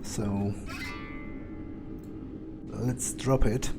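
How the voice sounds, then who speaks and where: A man playing a role-playing game in character, thinking aloud in a halting, musing mutter as his vampire character. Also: on the low side, steady tone, vocal fry, music in the background.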